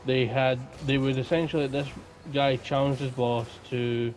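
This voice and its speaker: A man's voice: mid-range voice, flat delivery, moderate pace, darkish tone, poor audio. A man co-hosting a podcast in casual, informal conversation. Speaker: podcast co-host.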